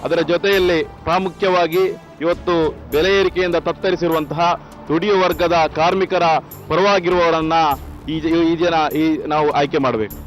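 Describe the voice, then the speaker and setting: A man's voice: fairly high pitch, fairly even intonation, a little slow, music in the background, rough recording. A man making a formal, oratorical statement of opinion. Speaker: local resident giving opinion. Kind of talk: political statement to camera.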